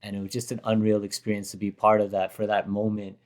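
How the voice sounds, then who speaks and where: Brief: hoarse, slightly low-voiced man, casual rambling storyteller who keeps correcting himself. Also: monotone, quick pace, darkish tone. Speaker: rapper reacting to his own performance. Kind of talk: casual chat.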